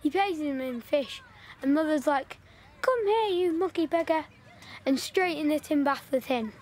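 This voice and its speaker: A woman's voice: high-pitched, lively intonation, normal speed, darkish tone. A woman playing a chatty, cheeky wartime country child with a Northern English accent in an audio drama, talking colloquially and matter-of-factly.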